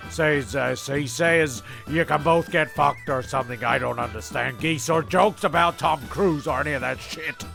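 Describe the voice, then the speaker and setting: A man's voice: fairly high pitch, gravelly, pushed and bright. A man who hosts and narrates a homemade comedy, rambling and getting worked up as he goes. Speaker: narrator and host. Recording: homemade recording with music behind.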